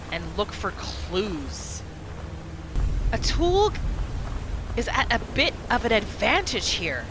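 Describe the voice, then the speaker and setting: A woman hosting a gaming video, giving casual playthrough commentary in an expressive, exclamatory way. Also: medium pitch, noisy background. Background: game music.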